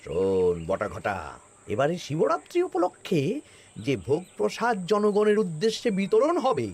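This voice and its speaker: A man's voice: high-pitched, huge pitch swings, a little slow, darkish tone, exaggerated voice. A man playing a greedy, scheming royal minister in a comedy cartoon, with a wheedling, conspiratorial, theatrical delivery. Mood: sly, conspiratorial. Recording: music behind the voice.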